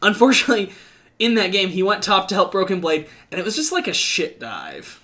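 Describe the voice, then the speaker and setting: A man hosting a casual esports podcast, talking quickly with restarts and the odd swear word. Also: sits high, sing-song, sharp tone. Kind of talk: casual esports analysis chat.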